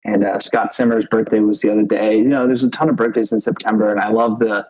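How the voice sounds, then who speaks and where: Husky-voiced man rambling casually. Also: on the low side, level tone, fast talker, a little mellow, poor audio. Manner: rambling, repeats himself, lots of fillers. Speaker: podcast co-host. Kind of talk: casual chat.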